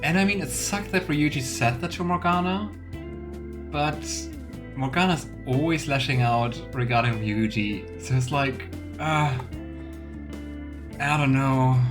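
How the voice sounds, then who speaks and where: A woman's voice: rumbling low, measured pace. Chatty young woman commenting on a game. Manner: chatty, opinionated, filler-heavy. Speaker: streamer voicing a game. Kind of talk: let's play commentary. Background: game music.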